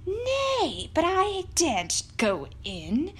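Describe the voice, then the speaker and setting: A woman voicing a young male prince, raised higher and slower, brave despite his fear. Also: wildly swooping pitch, fairly bright tone, exaggerated voice. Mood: afraid.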